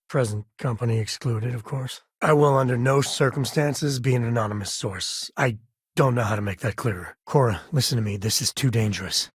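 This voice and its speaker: A man playing a middle-aged space ranger in a video game, wry, folksy and good-hearted, with a husky, gravelly voice. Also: medium pitch, dry recording, studio quality, close to an everyday voice. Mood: serious, firm. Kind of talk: video game voice acting, conversational.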